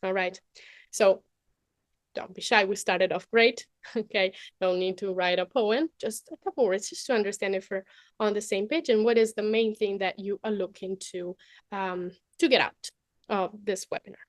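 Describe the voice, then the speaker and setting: A woman's voice: mid-range voice, steady tone, moderate pace, no echo, clean studio sound. A young adult woman with an Italian accent presents a webinar in a chatty way, often adding "okay?" and fillers. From Italy.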